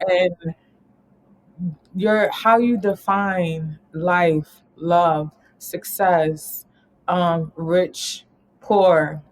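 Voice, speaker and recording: A woman's voice: on the low side, fairly even intonation, drawn-out words, rough recording. A woman from New York talking casually as an interview guest, rambling and laughing often. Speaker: guest, travel company founder.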